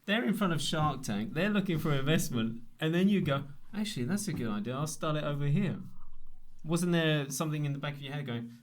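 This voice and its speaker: A man's voice: a little high, expressive, a little fast. A middle-aged man hosting a casual interview, jovial and teasing, often jumping in with questions and remarks. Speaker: interviewer, host.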